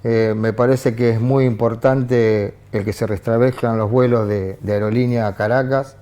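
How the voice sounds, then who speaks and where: Argentine man, journalist guest, studio political talk, halting delivery, average pitch. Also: fairly even intonation, dark tone. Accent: Argentine Spanish. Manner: hesitant, many restarts and fillers. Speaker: panel guest, international journalist.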